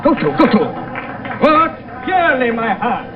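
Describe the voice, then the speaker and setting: Older blustering patriarch for radio Shakespeare: highish, hugely animated, imperious, on a muffled broadcast. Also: male voice, a little slow, full character voice. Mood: angry, scolding. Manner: imperious, scolding. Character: older master of the house, blustering, commanding uncle. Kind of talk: 1970s radio Shakespeare acting. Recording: muffled distant radio broadcast, music behind.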